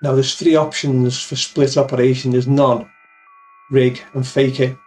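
A man's voice: medium pitch, little pitch movement, measured pace, a little mellow. A man in his fifties giving an informal, step-by-step tutorial in a plain-spoken way, close on a studio mic. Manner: step-by-step, plain-spoken, addresses viewers as guys. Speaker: amateur radio video presenter. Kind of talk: informal software tutorial with demonstration. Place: small cluttered home office. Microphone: boom-arm studio mic with pop filter, close.

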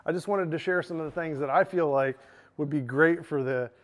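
Man in his thirties, showroom walkaround, chatty, fillers, slightly high voice. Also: a little fast. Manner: chatty, frequent "um" and "right?". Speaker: store product presenter. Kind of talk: casual product walkaround. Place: large bright indoor gear showroom.

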